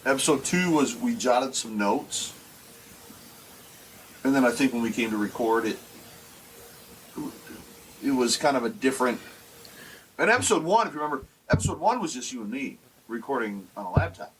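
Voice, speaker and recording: A man's voice: mid-range voice, expressive, moderate pace, pauses often, slight background noise, low-quality recording. A man in his forties co-hosting a casual podcast, talking in a rambling way with many restarts. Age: 40s. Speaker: podcast co-host. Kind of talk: casual chat. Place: basement.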